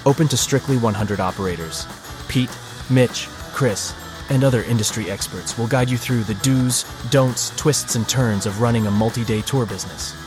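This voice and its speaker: A man reading a scripted event ad in a smooth, persuasive promo delivery. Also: mid-range voice, normal speed. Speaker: ad announcer. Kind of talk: scripted ad read. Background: guitar music.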